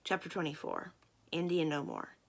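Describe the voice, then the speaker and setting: A woman's voice: low-pitched, huge pitch swings, moderate pace, creaky. A woman reading a children's novel aloud as a storyteller. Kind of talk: scripted read-aloud narration of a children's novel.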